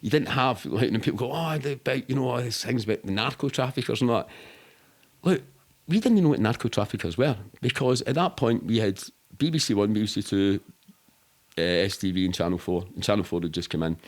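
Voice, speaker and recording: A man's voice: lowish voice, brisk. A middle-aged man with a Glaswegian accent, telling rambling, sweary, matter-of-fact anecdotes in casual interview chat. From Scotland. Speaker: podcast guest.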